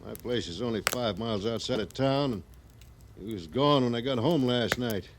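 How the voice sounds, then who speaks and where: An actor playing a bitter, vengeful frontier army scout with rough rustic talk, in the style of an old radio western. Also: male voice, medium pitch, animated, moderate pace, lightly acted. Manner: rough rustic grammar, insistent. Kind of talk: 1950s radio western acting. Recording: noisy old radio drama recording.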